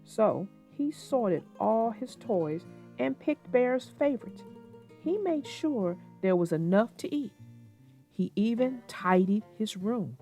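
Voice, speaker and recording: A woman's voice: fairly high pitch, animated, slow, dark tone. A woman host narrating a children's storybook as a read-aloud. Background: music, plucked guitar.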